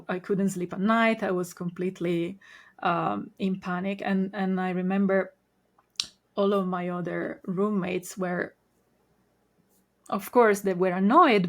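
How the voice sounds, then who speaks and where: Italian woman in her thirties, podcast guest; unhurried, halting delivery at a middling pitch. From Italy. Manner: unhurried, hesitant with many ums and pauses. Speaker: podcast guest, Reiki master. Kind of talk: casual interview storytelling.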